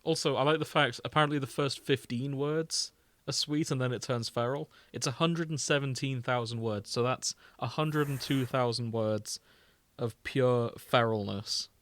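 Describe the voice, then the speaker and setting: A man's voice: medium pitch, normal speed, fairly bright tone, no echo. A man co-hosting a comedic podcast, chatting casually and bantering with playful mock indignation. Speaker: podcast co-host. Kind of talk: casual comedic chat, reading out fan fiction tags.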